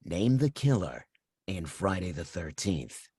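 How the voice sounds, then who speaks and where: A man acts out a menacing slasher villain on a threatening phone call. The voice is very low and rough with husk, and it moves slowly and deliberately. It taunts with sinister control, recorded close and dry. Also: big pitch swings, mellow, no echo, full character voice. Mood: mocking. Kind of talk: slasher film table read.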